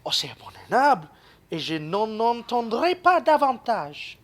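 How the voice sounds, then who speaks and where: A woman's voice: a little high, big pitch swings, a little slow. A young woman as an indignant, swooping objector. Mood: outraged. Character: indignant, principled objector among the travellers. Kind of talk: stage-like French radio play acting.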